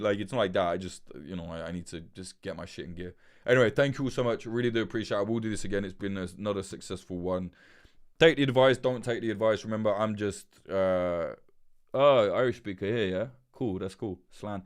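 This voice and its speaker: A man hosting a casual livestream and answering viewer questions, talking frankly, swearing freely and rambling with restarts, into a desk mic at his laptop. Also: fairly low pitch. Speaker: livestream host giving journalism advice. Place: indoors at a laptop with webcam. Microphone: desk mic through a mixer.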